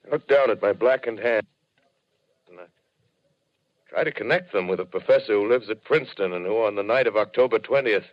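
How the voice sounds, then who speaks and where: Male actor as haunted lone professor, very low voice, solemn literary monologue, muffled old radio drama. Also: lightly acted. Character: brooding, haunted male professor, lonely survivor. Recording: muffled 1930s radio broadcast recording.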